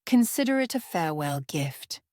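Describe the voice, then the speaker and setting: Audio drama villainess, played by a woman: cold, condescending, unhurried delivery with swooping pitch and dry close sound. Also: very animated, a little mellow, no room sound. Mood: taunting threat. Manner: condescending, deliberate. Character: cold, menacing female villain, shadowy cartel leader. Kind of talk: audio drama thriller dialogue.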